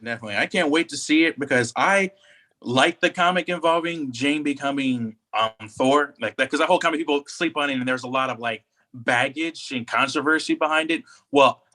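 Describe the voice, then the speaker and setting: A man co-hosting a podcast in casual, slangy, opinionated and animated chat. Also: medium pitch, brisk. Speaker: podcast co-host. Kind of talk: casual pop culture chat.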